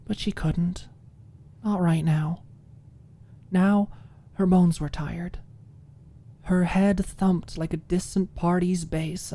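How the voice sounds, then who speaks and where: A woman narrates a dramatic ASMR audiobook. Her voice is low and dark, with a breathy edge that sinks toward a whisper. She reads deliberately and with expression.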